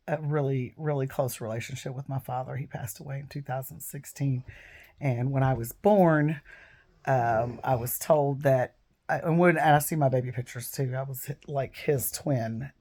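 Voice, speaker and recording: A woman in her fifties, hoarse and froggy from a cold, chatting casually to camera at home and rambling off into digressions. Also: very deep voice, dark tone. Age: 50s. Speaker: vlogger.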